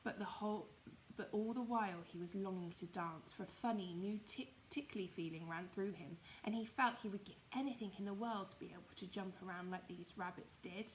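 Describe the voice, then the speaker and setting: A woman's voice: medium pitch, brisk, a little hiss or hum, low-quality recording. A woman reading a children's story expressively, with emphatic, dramatised phrasing. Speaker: primary school storyteller.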